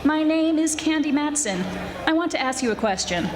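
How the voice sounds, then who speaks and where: A woman playing a brash, wisecracking young private detective in a 1940s-style radio drama, sassy and quick-witted. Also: high-pitched, animated, crowd noise. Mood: insistent. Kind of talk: 1940s radio detective acting, dialogue and narration. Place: live stage performance of a radio play.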